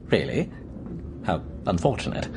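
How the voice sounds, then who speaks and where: Lively, earnest English gentleman. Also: deep voice, unhurried, heavily acted. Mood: polite, chatty. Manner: polite, eager, expressive. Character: earnest, romantic young English gentleman, Captain Hastings. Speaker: narrator and actor. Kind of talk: radio drama narration and dialogue. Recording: clear background noise and ambience.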